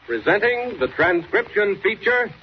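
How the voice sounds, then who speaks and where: A man's voice: sits high, sing-song, a little slow, highs cut off. Dramatic male announcer on noisy old radio. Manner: dramatic, declamatory. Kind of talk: 1940s radio announcing, scripted narration.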